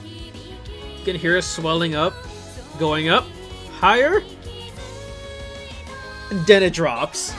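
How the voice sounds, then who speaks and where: A man giving casual, enthusiastic video commentary in an excitable, conversational way, full of exclamations and asides. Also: high voice, takes it slowly, pauses often. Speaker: video host commenting on visual novel openings. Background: music playing behind the voice.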